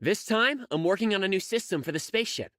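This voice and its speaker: High-voiced, keen young engineer. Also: male voice, expressive, quick pace, background noise. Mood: focused. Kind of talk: English anime game voice acting.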